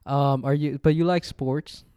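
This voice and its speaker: A young man hosts a loose, joking podcast chat and keeps teasing his guest. His voice is smooth and mellow. It sounds close and dry. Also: mid-range voice, steady tone, a little slow, no room sound. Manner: joking, teasing, laughs often. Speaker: podcast host.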